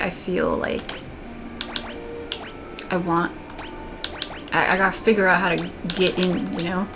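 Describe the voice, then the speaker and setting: A young woman with a monotone voice, talking in a candid, rambling way while playing a video game. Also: fairly low pitch, gravelly. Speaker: let's play gamer. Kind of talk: casual commentary while gaming. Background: game music.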